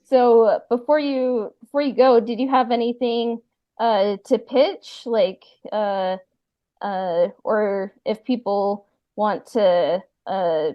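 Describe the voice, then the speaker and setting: A woman hosting a casual podcast chat, talking haltingly and often trailing off. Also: medium pitch, no echo, lo-fi sound. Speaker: podcast host.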